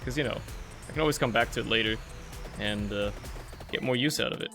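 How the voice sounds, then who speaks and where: A man's voice: mid-range voice, fast talker, vocal fry, pushed and bright, faint music behind. A young man with German as his first language, streaming a video game and rambling through casual, joking commentary that swears often, recorded at home on a desk microphone.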